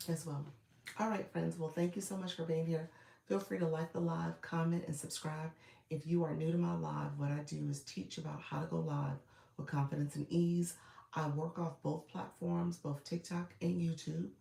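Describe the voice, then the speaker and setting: A woman with a cold speaks in a low, gravelly, froggy voice, congested and clearing her throat now and then. She chats casually with live viewers as a streaming coach, repeating her welcomes and filling pauses. Her voice carries a roomy echo. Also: flat delivery, warm tone. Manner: chatty, repetitive welcomes with frequent fillers and throat-clearing.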